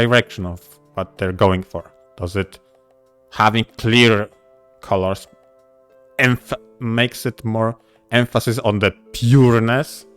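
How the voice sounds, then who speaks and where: A man co-hosting a podcast, speaking in halting, deliberate phrases as he probes a point. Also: lowish voice. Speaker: podcast co-host. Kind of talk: casual podcast discussion.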